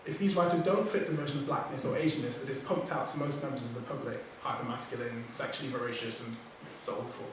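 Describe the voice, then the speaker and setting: A man who speaks English as his first language, reading a prepared talk as a poet on a writers' panel, measured, with small self-corrections. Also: medium pitch, fairly even intonation. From Nigerian heritage, raised outside Nigeria. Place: theatre hall. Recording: echoey hall from far off, background noise.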